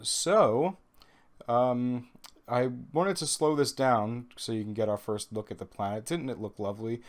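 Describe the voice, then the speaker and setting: A man's voice: mid-range voice, measured pace. A man with a Brooklyn accent, a little nasal from a cold, giving chatty, self-deprecating voice-over commentary on his gameplay. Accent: New York (Brooklyn). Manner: chatty, self-deprecating, rambling with ums and restarts. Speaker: gaming video host.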